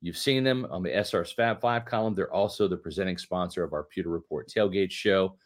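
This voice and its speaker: A man's voice: deep voice, fairly quick. A man in his fifties co-hosting a late-night sports podcast, joking and emphatic, reading an ad in his own words. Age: 50s. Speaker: podcast co-host.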